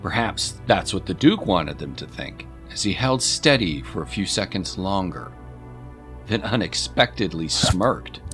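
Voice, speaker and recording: A man's voice: low-pitched, lively intonation, a little slow, a bit bright. A man narrating a scripted story as an audiobook narrator, in a dramatic storytelling style. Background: music.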